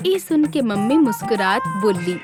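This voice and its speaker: A woman with a Bhojpuri accent giving scripted narration for an animated children's story. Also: medium pitch, unhurried, music in the background.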